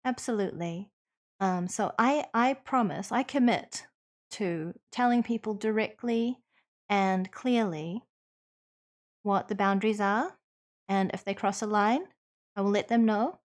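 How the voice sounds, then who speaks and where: A woman's voice: mid-range voice, measured pace. Earnest New Zealand woman streamer. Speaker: livestream host. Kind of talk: conversational livestream Q&A, partly reading chat aloud.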